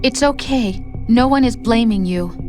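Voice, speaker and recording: An actress playing a tender, worried young woman in love, gentle and pleading, in an emotional video game cutscene. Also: fairly high pitch, unhurried, lightly acted. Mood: consoling. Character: tender, worried young woman consoling the man she loves. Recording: music behind the voice.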